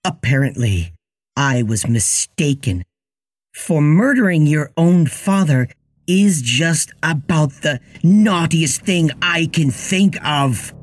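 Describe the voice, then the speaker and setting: A man's voice: a little high, expressive, slow pace, pitched above the performer's own voice. A man voicing a stern, scolding mother who is a menacing child-eating dragon in a storybook tale. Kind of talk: storybook character voice.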